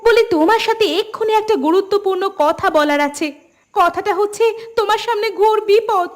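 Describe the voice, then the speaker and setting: Woman acting an anxious, very high-pitched wife. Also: brisk. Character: worried, pleading village wife. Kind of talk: melodramatic cartoon dubbing.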